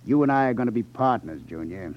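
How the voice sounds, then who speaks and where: An actor playing a tough, sly gambling club boss in a 1950s radio crime drama, with a taunting, menacing manner. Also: male voice, medium pitch, animated, fast, natural voice. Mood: coolly persuasive. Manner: sneering, taunting, hesitates slyly for effect. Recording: dull lo-fi 1950s radio recording.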